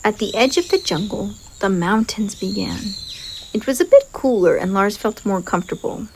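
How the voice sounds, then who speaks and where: A woman reading a picture story aloud for children in an expressive narrating style. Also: mid-range voice, normal speed, slight background noise. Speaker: storybook reader.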